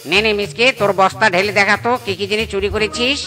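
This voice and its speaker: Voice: medium pitch, steady tone, brisk, close to an everyday voice. An actor voicing a bossy, scheming male cartoon animal thief in a sly, exaggerated way. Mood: bossy, gleeful. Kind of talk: comedy cartoon voice acting. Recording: music behind the voice.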